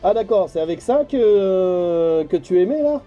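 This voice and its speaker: A man's voice: high voice, lively intonation, normal speed, warm tone, lo-fi sound, faint music behind. A middle-aged man from France chatting casually and colloquially as a hobby CB radio operator. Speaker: amateur CB radio operator and vlogger. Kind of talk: casual chat over CB radio.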